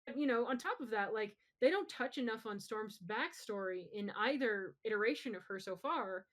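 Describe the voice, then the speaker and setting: A woman's voice: fairly high pitch, lively intonation, moderate pace, fairly bright tone. A woman co-hosting a podcast, chatting casually about pop culture in an informal, opinionated way. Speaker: podcast co-host. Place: live-streamed podcast.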